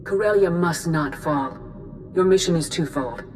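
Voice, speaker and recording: A woman playing a stern, grave Jedi grand master in a video game, measured and authoritative. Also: fairly low pitch, natural voice. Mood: solemn, commanding. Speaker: military and spiritual leader. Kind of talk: dramatic scripted game acting. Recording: music behind the voice.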